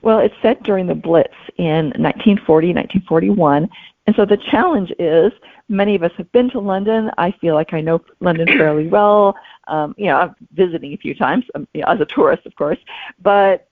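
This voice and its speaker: A woman's voice: on the low side, brisk, dry recording. A woman speaking as a guest novelist, explaining her work reflectively in a casual interview. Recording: thin phone-like audio.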